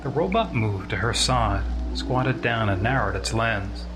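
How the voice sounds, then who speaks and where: A man's voice: lowish voice, lively intonation, unhurried, music in the background. A man reading a scripted science fiction story as a dramatic narrator.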